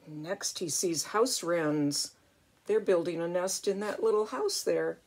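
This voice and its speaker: An older woman, a grandmother, reading a picture book aloud in an expressive way and chatting to a young child with little exclamations. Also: lowish voice, takes it slowly. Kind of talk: storybook read-aloud with chatty asides to a child.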